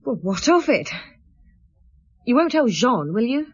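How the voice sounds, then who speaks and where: A woman's voice: fairly high pitch, very animated, measured pace, breathy edge, muffled recording, exaggerated voice. An actress playing a defiant, evasive married woman under questioning, brittle and sarcastic, in a radio drama. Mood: defensive, pleading.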